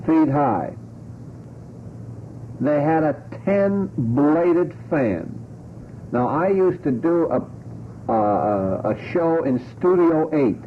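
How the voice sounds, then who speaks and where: A man's voice: on the high side, narrow, tinny audio, slight background noise. A man hosting a radio panel talk show, talking in a digressive way and putting pointed questions on an old broadcast.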